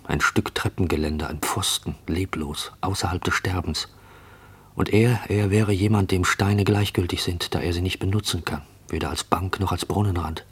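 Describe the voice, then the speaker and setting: An actor playing a haunted, watchful narrator in a literary radio play monologue, measured and brooding. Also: male voice, sits low, slightly rough. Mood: frozen dread.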